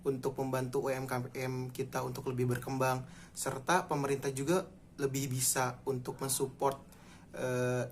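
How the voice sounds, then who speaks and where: A man's voice: mid-range voice, slight background noise. A man in his twenties answering interview questions in an orderly way, going through his points one by one. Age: 20s. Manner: structured, lists points in order. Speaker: interviewee, private-sector hospital employee. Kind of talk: casual spoken answers.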